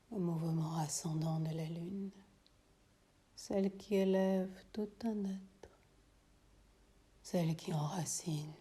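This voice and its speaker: A woman teaching yoga nidra, guiding a meditation in a soothing, hushed, breathy delivery that often drops to a near whisper, with long pauses. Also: fairly low pitch. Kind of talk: guided meditation.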